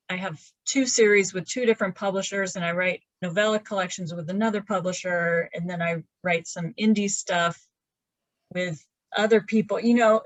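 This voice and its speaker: A woman's voice: medium pitch, no echo, poor audio. A woman in her fifties, a romance author, chatting casually in a video-call interview from her laundry room, rambling with many fillers and restarts. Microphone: webcam on a video call.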